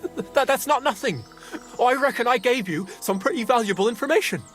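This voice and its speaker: An actor playing a cornered, cheeky medieval thief in a video game, with rough, colloquial, hedging speech. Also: male voice, very high-pitched, lively intonation, fairly quick, fairly bright tone, faint music behind. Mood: nervous, hopeful. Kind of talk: video game drama acting.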